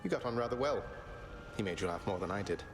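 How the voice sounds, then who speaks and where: An actor playing an easygoing, affectionate young Underworld prince and big brother in a video game cutscene, casual and wry. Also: male voice, lowish voice, animated, quick pace. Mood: earnest, wry.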